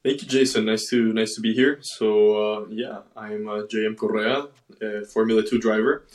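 A young man, a racing driver from Ecuador raised in Miami, talking casually in an interview with measured, halting phrasing. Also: on the low side, fairly even intonation, reverberant. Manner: measured, halting with fillers and restarts.

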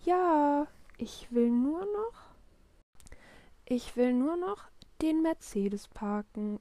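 Dawdling kindergarten boy, voiced by a woman: high, eager, pleading, for a children's tale. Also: lively intonation, drawn-out words, dark tone, no room sound, heavily acted. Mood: stalling, eager. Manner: pleading, stalling, easily distracted. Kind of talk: children's storybook read-aloud.

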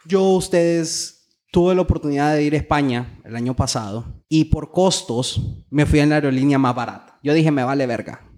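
A man's voice: a little high, moderate pace, darkish tone. A man with a Honduran accent chatting casually as a podcast co-host, swearing and using plenty of slang. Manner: profane, slangy. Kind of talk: casual chat.

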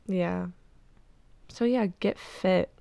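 A young woman in her twenties hosts her podcast in a loose, rambling way, leaning on "like" constantly. Her nose sounds stuffed up, and a gravelly vocal fry runs under a smooth voice. The sound is dry, with no room echo. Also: mid-range voice, warm tone. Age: 20s. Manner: rambling, peppered with "like". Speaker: podcast host. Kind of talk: casual chat.

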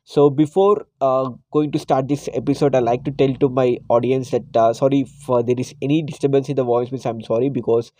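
A man, hosting a casual solo podcast, hoarse from a cold and pausing often with fillers. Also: mid-range voice, steady tone, warm tone. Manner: hesitant, frequent "uh" fillers. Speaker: podcast host.

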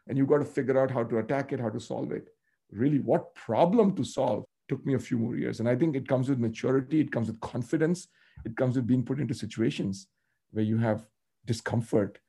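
A man talks in a measured, reflective way as a podcast guest. His voice is gravelly and husky, with a dark, mellow tone. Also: mid-range voice. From India. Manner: measured, thoughtful storytelling. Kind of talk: reflective interview answers.

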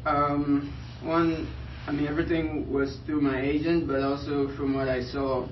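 A man's voice: fairly high pitch, level tone, unhurried. A young man from Liberia, a pro soccer player, answering press questions hesitantly with many fillers. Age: young adult. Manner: hesitant, filler-heavy, soft-spoken. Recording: roomy, distant, muffled, light noise.

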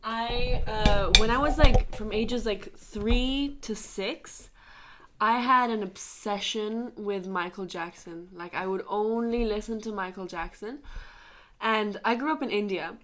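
A young woman from Italy telling a story on a student podcast in an animated, laughing way. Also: mid-range voice, measured pace, a little hiss or hum. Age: young adult. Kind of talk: casual podcast chat.

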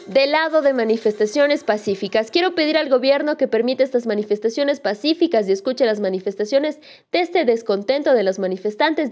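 A woman's voice: a little high, a little mellow. A woman narrating a scripted news report.

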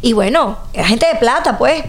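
A woman with a Venezuelan accent, telling stories loudly and animatedly as a guest in a casual podcast chat. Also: fairly high pitch, normal speed. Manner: loud, animated storyteller. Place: lives in London.

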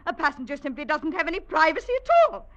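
An actress playing an indignant, high-strung ship passenger in old-time radio style, fussy and agitated. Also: female voice, quick pace, bright, forceful tone, muffled recording. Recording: faintly noisy vintage radio recording.

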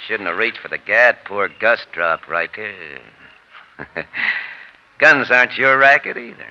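An actor playing a cool, sardonic gangster killer with a soft spot, talking in taunting underworld slang in a 1940s radio crime drama. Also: male voice, on the low side, lively intonation, unhurried. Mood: mocking, menacing. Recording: muffled, noisy old radio recording.

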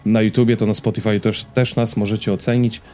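A man's voice: fairly low pitch, level tone, fast, mellow, faint music behind. A man co-hosting a podcast in a casual, informal and joking way, given to anecdotes. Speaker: podcast co-host.

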